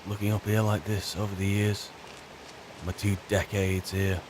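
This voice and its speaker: A man with a British accent roleplaying a weary, chatty wizard's servant turned adventurer, musing in a wry, halting, rambling way. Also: lowish voice, level tone, darkish tone, a little hiss or hum, close to an everyday voice, poor audio. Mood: wistful musing. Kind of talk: in-character game roleplay monologue.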